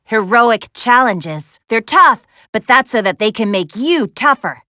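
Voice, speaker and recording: A woman voicing a spirited, capable young woman adventurer in an animated video game, with an American accent and a wry, sassy, instructive way of talking. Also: fairly high pitch, unhurried, dry recording, clean studio sound. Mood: encouraging. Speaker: video game character voice actress.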